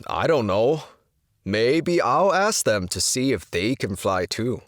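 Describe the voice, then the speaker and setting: Male actor as composed male choreographer, medium pitch, expressive intonation, polite, measured, audio drama, dry sound. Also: no echo.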